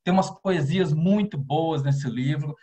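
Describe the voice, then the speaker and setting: Brazilian guy from minas reading his poems on a video call, lots of lift on the big lines. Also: unhurried. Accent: Brazilian Portuguese. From Minas Gerais, Brazil. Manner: expressive recitation, rising pitch on key lines. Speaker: poet and novelist. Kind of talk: poetry reading.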